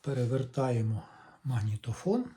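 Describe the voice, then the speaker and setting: A man's voice: medium pitch, animated, moderate pace, mellow. A man gives a casual, hands-on repair walkthrough, thinking aloud as he explains each step without hurry. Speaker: hobbyist electronics repairer presenting a video.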